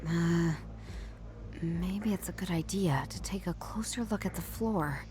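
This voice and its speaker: An actress playing a curt, sardonic detective in a noir video game, talking in a dry, brusque and impatient way. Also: female voice, fairly low pitch, a little slow, crowd noise, lightly acted. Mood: musing, low.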